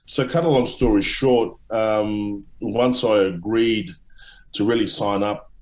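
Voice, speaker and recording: Deep-voiced man in an echoey room. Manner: halting, many restarts and fillers. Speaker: author and guest. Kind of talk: casual interview answers.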